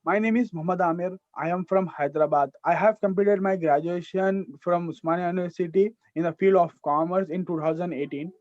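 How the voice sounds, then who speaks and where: A young man with an Indian English accent recites a practised self-introduction in a fairly high voice, stumbling and restarting now and then. The audio is dry and dull, like a video call. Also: fairly even intonation, a little fast, hardly any pauses, darkish tone, no echo. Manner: recites rehearsed lines with small restarts. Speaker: student.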